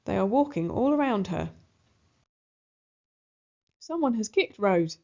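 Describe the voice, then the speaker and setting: A woman's voice: mid-range voice, animated, takes it slowly, creaky, mellow. Woman reading a children's story, slightly dull sound. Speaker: storybook narrator. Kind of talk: expressive children's story read-aloud.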